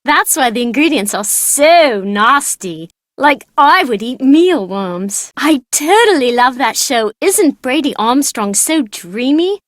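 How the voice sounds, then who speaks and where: A woman voicing a bright, cheeky, bookish young girl character in a video game, playful and matter-of-fact. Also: high-pitched, lively intonation, slow, dry recording, clean studio sound. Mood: chirpy.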